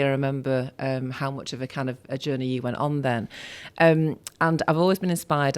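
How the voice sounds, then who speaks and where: A man hosts a casual podcast interview in a middle-pitched voice. He asks his questions in a friendly, encouraging way. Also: gravelly, clear, resonant tone. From Manchester, England. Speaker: podcast host.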